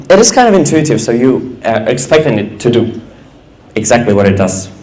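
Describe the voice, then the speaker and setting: A young man giving a casual app demo on stage, halting with many fillers. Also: medium pitch, very animated, a little mellow, reverberant. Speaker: student presenter. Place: event stage.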